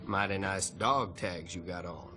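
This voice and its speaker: An actor playing a cocky, taunting escaped prisoner with a Louisiana Cajun accent in a video game. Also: male voice, sits low, unhurried. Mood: cocky, teasing. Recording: loud music over the voice.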